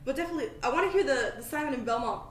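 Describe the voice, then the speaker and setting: A woman's voice: sits high, expressive, very fast. A woman hosting a podcast, chatting casually in a rambling, self-deprecating way. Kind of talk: casual chat. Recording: echoey room, faint background noise.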